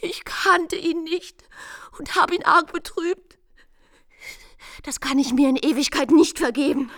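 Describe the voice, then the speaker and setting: High-voiced, deluded young bride. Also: female voice, measured pace, a bit bright, no echo, studio quality. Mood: agitated remorse. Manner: confiding, feverishly earnest, drifting into whispers. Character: deluded, fragile young bride-to-be, secretive and lovesick. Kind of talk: German radio play acting.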